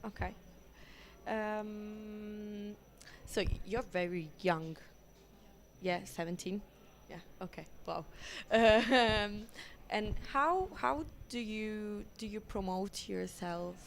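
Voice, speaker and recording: Slow, halting woman interviewer. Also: medium pitch, creaky. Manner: halting, rephrases questions. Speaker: radio interviewer. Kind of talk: casual interview questions.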